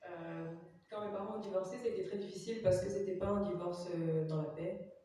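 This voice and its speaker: A young woman from Belgium with a Belgian French accent, giving a halting personal testimony in a chapel, pausing often with fillers. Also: fairly low pitch, fairly even intonation, mellow. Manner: hesitant, many fillers. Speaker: testimony giver. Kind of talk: personal testimony in church, interpreted. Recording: echoey chapel from far off.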